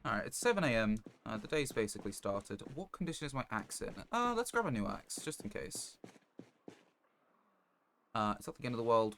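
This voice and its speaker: A man's voice: fairly low pitch, fairly bright tone. A man live-streaming a game, thinking aloud in a casual, rambling and understated way. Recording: clear background noise, clicks.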